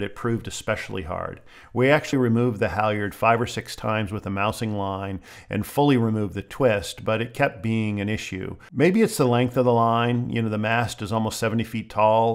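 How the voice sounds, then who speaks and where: A middle-aged man hosting a sailing vlog, narrating a calm, technical voice-over that explains boat gear step by step. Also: fairly low pitch.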